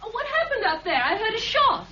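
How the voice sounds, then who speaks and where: A woman's voice: very high-pitched, very animated, fairly quick, sharp tone, a little hiss or hum, exaggerated voice. An actress playing a friendly, chatty young woman in a 1960s radio drama, muffled old broadcast. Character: bright young woman, a colonel's niece.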